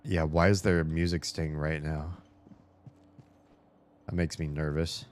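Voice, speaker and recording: A man's voice: rumbling low, little pitch movement, measured pace, slight background noise. A man giving casual commentary as he plays a video game, thinking aloud, swearing now and then, his voice a little worn. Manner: rambling, thinks aloud, swears and laughs while playing. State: slightly losing his voice. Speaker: game streamer. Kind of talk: casual let's-play commentary.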